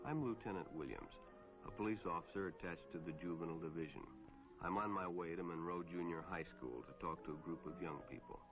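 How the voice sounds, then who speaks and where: Earnest 1950s police lieutenant, measured cautionary film narration, muffled vintage soundtrack with music behind. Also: male voice, low-pitched. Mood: earnest, instructive. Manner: measured, instructive storytelling. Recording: dull old film soundtrack, loud music.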